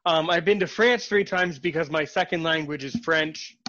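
Halting, bright-voiced podcast host man. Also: on the high side, muffled recording. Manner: halting, repeats words, self-described sarcastic. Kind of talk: casual interview chat.

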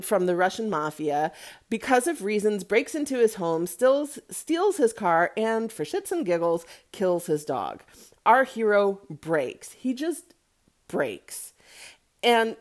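A woman's voice: mid-range voice, a little mellow. Chatty, candid woman reviewing movies. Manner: chatty, candid, conversational asides. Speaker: podcast host giving movie reviews. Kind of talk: casual, opinionated solo movie commentary.

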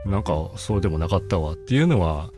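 Low-voiced middle-aged man over guitar music. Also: expressive, fast talker. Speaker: guest, band saxophone and flute player. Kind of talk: casual podcast conversation.

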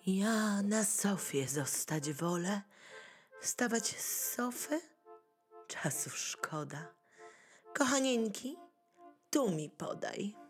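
A woman's voice: medium pitch, lively intonation, extremely slow, long pauses, faint music behind. A woman playing blunt, picky pet dogs in a rhymed radio play, with a sardonic manner. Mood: lazy.

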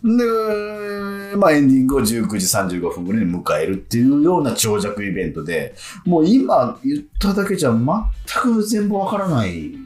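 Animated male radio host in a roomy studio. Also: fairly high pitch, normal speed, faint music behind. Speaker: radio show host and actor. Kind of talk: casual radio talk with co-host.

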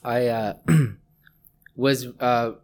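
A middle-aged Canadian man speaking as a scientist guest in a conversational interview, pausing often as he thinks. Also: medium pitch, steady tone. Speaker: interview guest, research scientist and lab head.

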